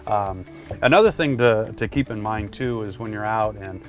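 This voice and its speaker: A man's voice: fairly low pitch, moderate pace, faint music behind. A man presenting product tips in a casual, conversational instructional style, talking directly to the viewer.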